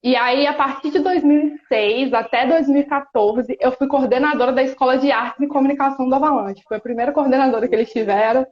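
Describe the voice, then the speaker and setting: A woman in her thirties from Espírito Santo, Brazil, with a Brazilian accent, speaking as a guest in an earnest, reflective chat with frequent pauses and restarts. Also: sits high. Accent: Brazilian Portuguese, Espírito Santo. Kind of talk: casual chat on a live stream. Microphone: phone or laptop on a video call. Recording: roomy, dull live-stream audio.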